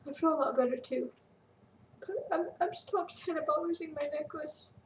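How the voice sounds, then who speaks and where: A woman's voice: high voice, normal speed, slight background noise, pitched above the performer's own voice. A woman reading a storybook, voicing a feisty, defiant young girl, with a roomy echo from a distant mic. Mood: gentle. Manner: defiant, yelling.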